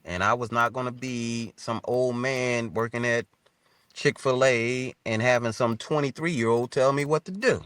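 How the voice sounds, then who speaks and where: A man's voice: mid-range voice, measured pace, fairly bright tone, clear, resonant tone, no room sound. A man in his fifties with an American accent, telling his story casually as an interview guest in informal, colloquial phrasing. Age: 50s.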